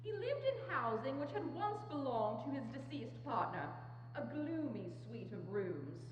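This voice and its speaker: Female narrator, lively swooping delivery of scripted drama, muffled, very reverberant hall. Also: sits high, measured pace. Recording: noisy, muffled, very echoey hall, hum.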